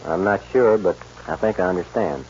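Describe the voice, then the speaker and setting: An actor playing a level-headed, sociable ranch foreman in a 1940s radio western, brisk and commanding yet friendly. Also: deep voice, darkish tone, lightly acted. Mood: concerned, friendly. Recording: noisy, dull old radio recording.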